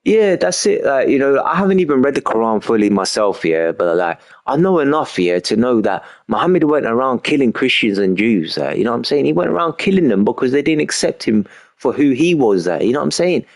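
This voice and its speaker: A man's voice: medium pitch, big pitch swings, a little fast, gravelly. A young man streaming a game and talking in an emphatic, repetitive way, often filling with "you know what I mean". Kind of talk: casual rambling monologue while gaming.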